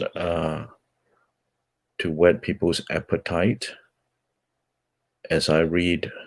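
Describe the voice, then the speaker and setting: Native Cantonese male book-club host, very deep voice, unhurried nonfiction reading. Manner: measured, halting with fillers between phrases.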